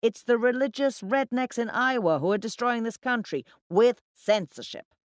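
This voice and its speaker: A woman voicing a loud, opinionated male account manager in an audiobook, boastful and emphatic. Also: lowish voice, wildly swooping pitch, takes it slowly, dry recording, studio quality, heavily acted. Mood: blustering. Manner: emphatic, blustering.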